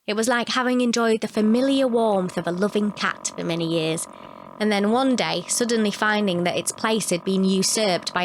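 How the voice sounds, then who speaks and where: A woman's voice: on the low side, slight background noise. A woman narrating a scripted short story.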